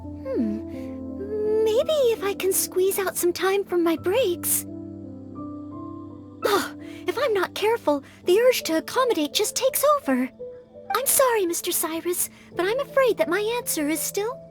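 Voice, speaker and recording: An actress playing a timid, polite young maid knight in a video game, speaking earnestly and hesitantly, eager to help. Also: female voice, very high-pitched, animated, a little slow, faint music behind. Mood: hesitant, torn. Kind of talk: video game character acting.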